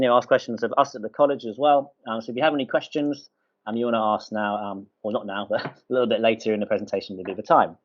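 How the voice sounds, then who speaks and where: Hesitant man hosting over a video call. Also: lowish voice. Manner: hesitant, many "um"s and restarts. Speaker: college host. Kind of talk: hosting an online event. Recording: muffled video call audio.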